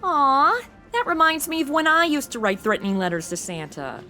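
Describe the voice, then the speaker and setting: A woman's voice: sits high, very animated, moderate pace, bright, forceful tone, soft background music, exaggerated voice. A woman playing a sarcastic, blunt modern sidekick in a comedy audio drama, talking casually. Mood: sardonic. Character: snarky modern young woman tagging along as sidekick. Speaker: show's lead and host.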